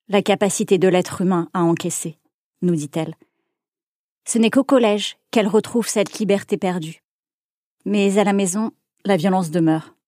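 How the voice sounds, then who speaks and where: Calm woman podcast host. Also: medium pitch, no echo, clean studio sound. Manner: measured, calm reading. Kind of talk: scripted intro reading, then casual interview.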